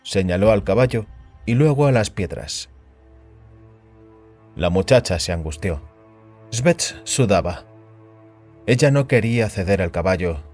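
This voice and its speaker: Low-voiced man narrating over music. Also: darkish tone. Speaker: narrator of an audio fiction story. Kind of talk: dramatic scripted audiobook narration of science fiction. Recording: music under the voice. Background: background music.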